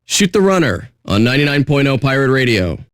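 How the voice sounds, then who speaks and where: An actor playing a surly, sarcastic radio DJ in a video game, with deadpan, contemptuous patter. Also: male voice, medium pitch, fairly quick, no room sound, clean studio sound, lightly acted. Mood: deadpan, smug.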